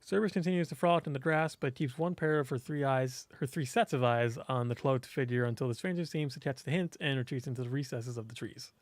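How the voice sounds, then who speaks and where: A man reading a visual novel aloud as host, in expressive scripted narration. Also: medium pitch, fast talker, few pauses, dry recording.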